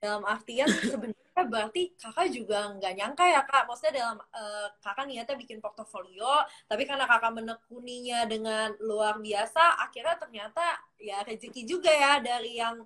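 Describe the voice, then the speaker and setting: Young woman host asking questions, fairly high voice, lots of hmm and okay. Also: moderate pace, a bit bright. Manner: attentive, frequent hmm and okay, politely addresses guest. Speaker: interviewer, host. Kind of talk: casual interview.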